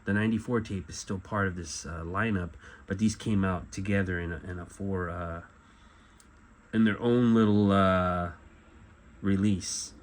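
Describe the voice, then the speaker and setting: A middle-aged man giving a casual show-and-tell as a collector, talking in a halting, rambling way and often correcting himself. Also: sits low, fairly even intonation. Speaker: collector presenting memorabilia. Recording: clear background noise.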